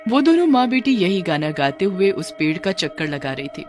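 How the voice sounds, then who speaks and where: Low-pitched, expressive woman storyteller. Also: normal speed, faint music behind. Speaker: story narrator. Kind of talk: scripted cartoon horror story narration.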